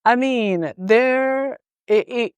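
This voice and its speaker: A middle-aged woman from Rwanda who grew up in Uganda, answering interview questions thoughtfully with many pauses and ums. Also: a little high, clean tone, no echo. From Rwanda, raised in Uganda. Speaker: interview guest, gender professional. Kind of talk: conversational interview.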